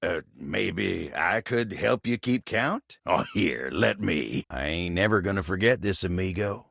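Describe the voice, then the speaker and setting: A man voicing a gentle, lovestruck living table in a video game, with a gravelly, husky Southern American drawl and a bashful, earnest, folksy manner. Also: low voice, animated, unhurried, no room sound, studio quality, heavily acted. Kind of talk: video game character acting.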